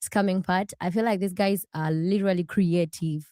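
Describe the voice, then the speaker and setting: A woman guest answering casually and hesitantly, restarting her sentences and softening her points. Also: fairly low pitch, fairly even intonation, normal speed, no room sound, clean studio sound.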